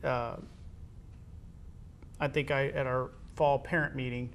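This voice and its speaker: An American man speaks as the host of a conversational radio interview. His voice sits at an ordinary middle pitch. There is faint rumbling noise in the recording. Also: level tone, slow pace, fairly bright tone. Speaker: host, high school athletics administrator. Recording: background noise with low rumble.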